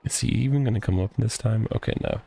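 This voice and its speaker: A man's voice: on the low side, fast talker, gravelly, dark tone, slightly rough. A man giving casual, wry gaming commentary, muttering exclamations as he plays. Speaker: let's play host. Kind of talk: casual gaming commentary, reading game text aloud. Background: game music.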